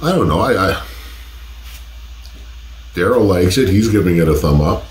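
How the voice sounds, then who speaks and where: A man's voice: sits low, lively intonation, normal speed, reverberant, slight background noise. A man in his forties hosting a casual taste-test vlog from his home office, laid-back and cursing freely, his voice stuffed up from a cold. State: congested from a cold. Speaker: host. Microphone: desk condenser mic.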